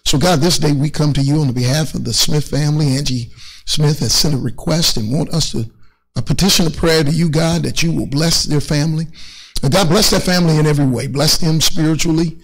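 Middle-aged minister, gravelly and halting. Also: male voice, a little high, rough recording. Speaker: church minister. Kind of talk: closing announcements and prayer. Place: indoors in an empty church sanctuary.

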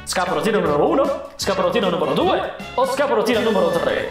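A man's voice: high-pitched, very animated, quick pace, distant mic, pitched below the performer's own voice. Man as a showy magic mirror, very echoey. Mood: showy, upbeat. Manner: smooth, showy announcer delivery. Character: suave magic mirror, slick game-show host. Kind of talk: fan dub of an animated comedy. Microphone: studio microphone on boom arm. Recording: echoing voice over loud film music.

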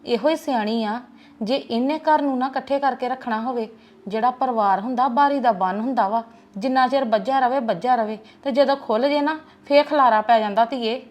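An actress with a Punjabi accent playing a wise aunt who calmly gives family advice. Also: female voice, fairly high pitch, normal speed, natural voice. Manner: gently advising, calm. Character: wise older paternal aunt giving advice.

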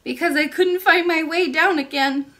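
A woman's voice: very high voice, normal speed, a bit bright, voice pushed up. A woman voicing a curious, polite and innocent little princess in a storybook, with eager, childlike questioning. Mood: tearful, plain.

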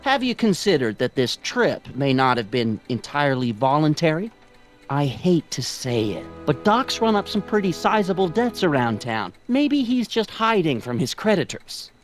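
A man's voice: a little high, sing-song, moderate pace, faint music behind. A man acting a mild, conciliatory middle-aged American father in a video game. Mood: gently reasoning.

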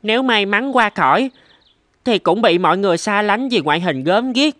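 A woman's voice: medium pitch, animated, moderate pace, a bit bright, no echo, close to an everyday voice. A woman voicing a brave, earnest and trusting eight-year-old village boy in a cartoon. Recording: faint background music.

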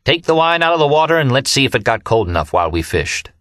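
A man's voice: mid-range voice, big pitch swings, fast, a bit bright, dry recording, studio quality, pitched above the performer's own voice. A man doing a pompous, gushing young American man. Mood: wistful, grand. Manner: gushing, affected. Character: pompous, effusive young man reminiscing grandly.

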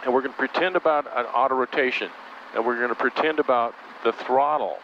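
A man coaching a student pilot in a helicopter cockpit, giving calm, coaxing instructions in short commands over a headset intercom. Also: mid-range voice, brisk. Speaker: flight instructor. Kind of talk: in-flight coaching. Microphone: aviation headset intercom. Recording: muffled intercom audio with engine noise.

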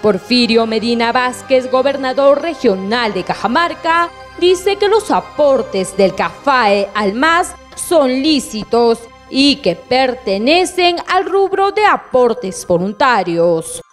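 A woman with a Peruvian Spanish accent reading a scripted regional news report in a measured, formal way. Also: high-pitched, sing-song. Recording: voice-over with faint music bed.

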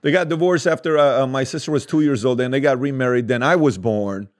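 A man in his forties from Iran, a native Assyrian speaker, telling stories as a podcast guest in a studio, animated and joking. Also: medium pitch. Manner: animated storyteller, quick asides and self-deprecating jokes. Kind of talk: casual interview storytelling. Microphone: studio mic with headphones.

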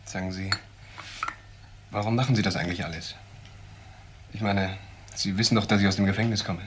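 Actor as low-voiced, earnest young ex-convict. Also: male voice, steady tone, brisk, noisy background, natural voice. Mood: polite. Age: young adult. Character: earnest, defensive young ex-convict recounting his story. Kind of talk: naturalistic German crime radio drama acting.

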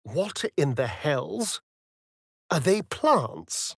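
A man's voice: on the high side, big pitch swings, very slow, gravelly, slightly rough, dry recording, clean studio sound, heavily acted. A man with a British accent voicing a vain, sardonic, seductive vampire rogue in a fantasy video game, with an arch, flamboyant, mocking manner.

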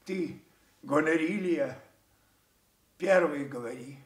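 A man's voice: on the high side, slow pace. An older actor playing a proud, commanding aging king in a classical radio tragedy, speaking in a stately, declamatory way. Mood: solemn, commanding. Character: imperious aging king dividing his realm, proud. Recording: roomy echoing stage sound, slightly distant.

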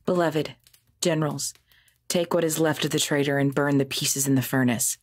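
A woman playing a commanding, possessive vampire ruler and lover in an ASMR roleplay drama, speaking in a formal, imperious, measured way. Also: low voice, steady tone, close to an everyday voice. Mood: controlled, authoritative.

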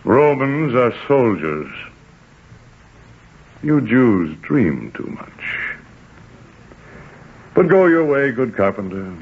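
An actor playing a world-weary, mildly mocking Roman governor in a measured, condescending 1940s radio drama style. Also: male voice, mid-range voice, sing-song, gravelly, breathy edge, heavily acted. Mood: wry condescension. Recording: tinny 1940s radio broadcast.

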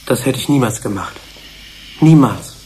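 An actor playing a sensitive, protective researcher in a German radio drama, earnest and emotional. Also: male voice, medium pitch, expressive, moderate pace, mellow, a little hiss or hum, close to an everyday voice, rough recording. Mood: wounded, denying.

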